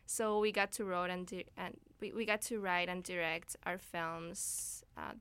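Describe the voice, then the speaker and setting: Female guest, twenties, from Ecuador, crisp bright tone with fry, casual and hesitant. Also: on the low side, steady tone, a little slow, no echo. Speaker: interview guest, student filmmaker. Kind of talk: casual interview answers. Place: radio studio.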